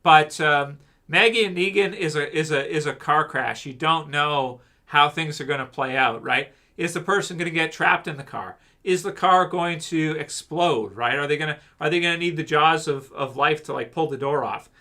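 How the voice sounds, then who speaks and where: A man's voice: fairly high pitch, normal speed, bright, forceful tone. A man hosting a livestream, chatting casually and rambling with jokes while answering his chat. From Canada. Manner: rambling, joking, talks back to chat. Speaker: livestream host.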